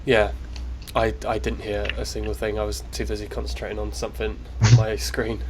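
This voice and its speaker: A man's voice: fairly low pitch, completely flat delivery, brisk, heavy background noise. A man in his mid twenties with a British accent, bantering and joking on a casual livestream. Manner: joking, sweary. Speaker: co-host of a lucid dreaming stream.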